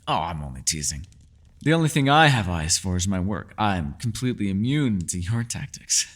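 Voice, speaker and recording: A man's voice: sits low, sing-song, normal speed. A man playing a mysterious, ageless male elf in a fantasy ASMR roleplay, teasing yet secretive, with courteous, formal, old-fashioned phrasing. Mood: playful, teasing.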